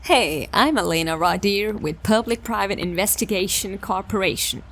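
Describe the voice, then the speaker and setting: A woman's voice: medium pitch, normal speed, a bit bright. A woman playing a polite, determined young detective in a sci-fi audio drama. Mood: eager, probing.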